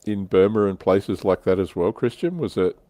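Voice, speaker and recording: A man with a low voice talks through his subject in a relaxed, conversational way. He hosts a casual livestream discussion, explaining as he goes. The sound is dry, with very little room in it. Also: moderate pace, no echo. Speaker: livestream host. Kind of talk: casual discussion of military history and tabletop wargaming.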